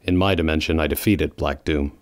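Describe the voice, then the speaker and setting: A man's voice: very deep voice, fairly even intonation, brisk, dry recording, clean studio sound. A man playing a brooding, guarded male hedgehog in a fan audio drama, speaking curtly and seriously. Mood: grim.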